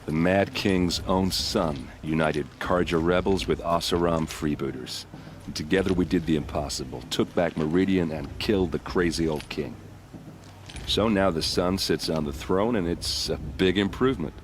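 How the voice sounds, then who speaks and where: A man's voice: low-pitched, moderate pace, noisy background, close to an everyday voice. An actor playing a friendly, easygoing young male soldier in a game cutscene, talking in a casual, joking way. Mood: earnest. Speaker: game character. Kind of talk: video game cutscene dialogue.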